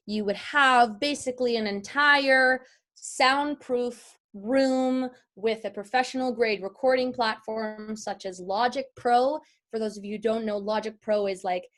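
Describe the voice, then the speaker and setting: A woman's voice: a little high, a bit bright. A woman voice-over artist teaching a class in a conversational online tutorial, chatty and enthusiastic as she explains.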